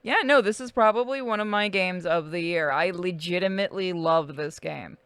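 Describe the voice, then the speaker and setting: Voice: mid-range voice, moderate pace, a bit bright, smooth, dry recording, clean studio sound. A game stream host chatting casually over gameplay and talking to viewers and co-players. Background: faint video game music.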